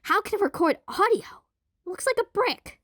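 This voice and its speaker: A woman's voice: very high-pitched, animated, moderate pace, a bit bright, no echo, studio quality, voice pushed up. A woman voicing a timid, old-fashioned boy ghost who is easily baffled, in an audio drama. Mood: baffled, incredulous.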